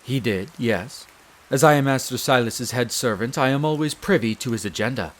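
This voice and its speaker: Casting: male actor for a devoted wolf butler, head servant of a castle. Formal, polite, measured delivery, mid-range pitch, fantasy audio drama. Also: natural voice. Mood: earnest, slightly worried. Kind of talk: scripted roleplay audio drama.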